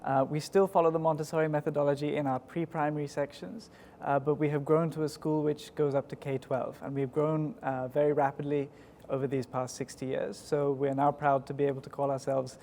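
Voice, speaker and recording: A man in his twenties with a southern English accent, giving measured, articulate and polite interview answers in a formal way. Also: a little high, flat delivery, gravelly, mellow. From United Kingdom. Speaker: school executive, interview guest. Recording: echoey hall with background noise.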